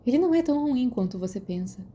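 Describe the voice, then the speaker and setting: A clever teenage witch from a fantasy storybook, performed by a woman. She is kind but outspoken, making her points frankly. Her voice stays mellow and rounded. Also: fairly high pitch, lively intonation, quick pace, slight background noise, natural voice. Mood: defensive.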